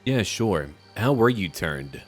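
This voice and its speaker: Deep-voiced man chatting through a game. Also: very animated, takes it slowly, faint music behind. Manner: thinks aloud, addresses viewers. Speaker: video game streamer. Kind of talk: casual game commentary.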